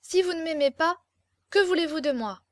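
Lady doing an imperious young captive girl, storybook drama, very high voice, capricious and demanding, super dry sound. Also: moderate pace, no echo, close to an everyday voice. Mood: furious, pleading. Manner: impassioned, demanding, theatrical exclamations.

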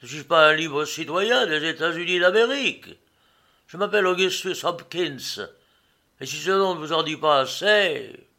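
A man voicing a loud, excitable, indignant fat American passenger in a storybook, shouting volubly. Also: fairly high pitch, normal speed, voice pushed up.

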